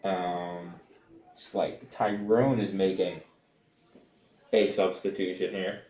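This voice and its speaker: A man's voice: deep voice, slow. Young man calling soccer, roomy sound. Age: young adult. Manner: animated, descriptive calls. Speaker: play-by-play sportscaster. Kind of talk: live soccer radio commentary.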